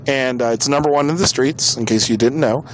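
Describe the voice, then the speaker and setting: A man's voice: mid-range voice, a little fast. An American man hosting a podcast, giving casual opinion commentary in an exasperated, informal and emphatic way. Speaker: podcast host. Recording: clearly noisy background.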